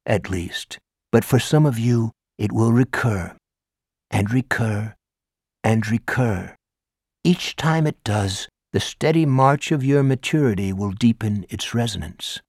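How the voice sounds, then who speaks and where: Guy reading as a showy old-school english teacher, high and loud, big sweeping delivery, dry sound. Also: no echo. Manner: grandiloquent, declaiming, emphatic lecturing. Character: theatrical, flamboyant middle-aged male high school English teacher. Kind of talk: audiobook character voice.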